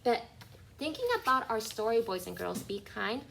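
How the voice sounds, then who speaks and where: Higher-pitched woman teaching young children. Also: moderate pace, vocal fry. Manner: expressive, instructive, addresses listeners directly. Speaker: kindergarten teacher. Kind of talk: interactive picture-book read-aloud to young children. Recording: noisy online class call.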